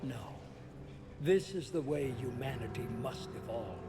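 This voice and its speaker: A man's voice: medium pitch, lively intonation, measured pace, music in the background, natural voice. A man acting a middle-aged, zealous leader obsessed with control, grandiose and insistent, in a dramatic video game performance.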